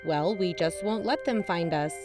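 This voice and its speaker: An actress playing an earnest young amateur investigator, co-hosting a scripted podcast drama. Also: female voice, lowish voice, moderate pace, natural voice. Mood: earnest, measured. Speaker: podcast co-host. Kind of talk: scripted audio drama narration and dialogue. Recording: music under the voice.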